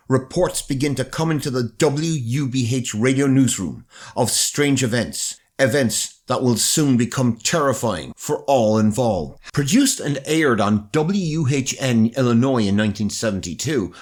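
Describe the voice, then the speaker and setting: A man with an Irish accent presenting scripted documentary narration in a measured, dramatic storytelling manner. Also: mid-range voice. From Ireland.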